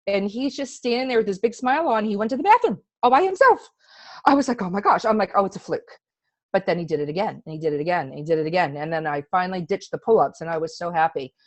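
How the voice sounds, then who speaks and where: A woman's voice: medium pitch, dry recording. A middle-aged woman giving a casual, chatty personal testimonial in an earnest, emphatic way. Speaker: testimonial speaker.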